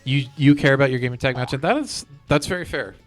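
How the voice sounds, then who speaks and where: A man's voice: mid-range voice, brisk, soft background music. A man chatting casually on a gaming live stream, rambling as he hops from one thought to the next. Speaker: game streamer.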